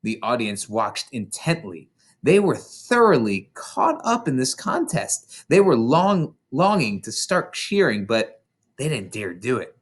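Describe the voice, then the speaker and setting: An expressive reader narrates a children's storybook aloud. The medium-pitched voice moves in very lively swoops, with an occasional stumble over a word. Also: a little slow, slightly rough. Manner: expressive, stumbles over words now and then. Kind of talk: animated read-aloud narration of a children's novel.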